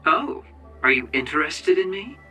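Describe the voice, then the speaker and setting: A playful young male soldier in a video game. His voice is very bright and lively in pitch, casual and joking, slightly distant with faint music. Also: moderate pace, full character voice. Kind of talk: video game cutscene acting.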